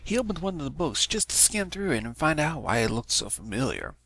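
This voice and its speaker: A man's voice: on the high side, big pitch swings, fairly quick, vocal fry, fairly bright tone, breathy edge. A man giving a scripted narration of a story as an amateur reader. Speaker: amateur fan fiction reader.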